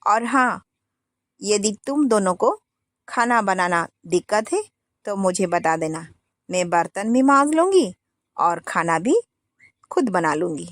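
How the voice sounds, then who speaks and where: A woman's voice: mid-range voice, animated, unhurried, pauses often, fairly bright tone, no room sound, studio quality. A woman voicing a stern older mother-in-law who scolds the household firmly, in a storybook tale. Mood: resigned. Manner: scolding, firm.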